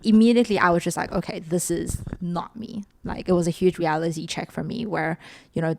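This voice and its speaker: A woman's voice: on the low side, steady tone, brisk, gravelly, a little hiss or hum. A young woman from South Africa co-hosting a podcast, chatting casually and often ending points with "right?". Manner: chatty, filler-heavy, ends points with "right?". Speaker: podcast co-host. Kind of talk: casual chat.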